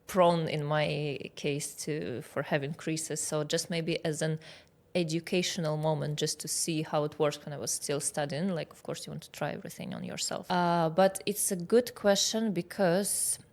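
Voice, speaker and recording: A woman's voice: low voice, steady tone, moderate pace, a little mellow. A woman in her thirties from Kazakhstan with a Russian accent, explaining things conversationally as an expert interview guest. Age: 30s. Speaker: guest expert, aesthetic doctor.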